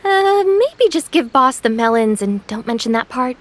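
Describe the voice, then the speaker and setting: Sensible young female game deputy: dry, wry, fairly high voice with lively inflection. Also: normal speed, slight background noise. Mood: dry, awkward. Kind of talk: video game voice acting.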